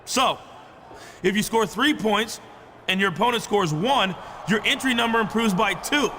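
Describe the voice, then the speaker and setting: A man's voice: high voice, normal speed, sharp tone, slight background noise. A man playing a smug, obsequious wrestling management lackey, explaining things in scripted game dialogue.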